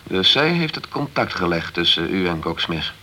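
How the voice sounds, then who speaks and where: An actor playing a sceptical male investigator in a radio crime drama, composed and persistent, with measured, pointed questioning. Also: low voice, big pitch swings, bright, forceful tone, heavily acted. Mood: suspicious, composed.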